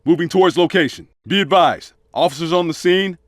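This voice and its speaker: An actor playing an undercover police officer in a video game, giving brisk, commanding tactical callouts in a clipped way. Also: male voice, a little high, no echo, studio quality, close to an everyday voice. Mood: businesslike, alert. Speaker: video game player character voice. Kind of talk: video game combat callouts and radio dispatch reports.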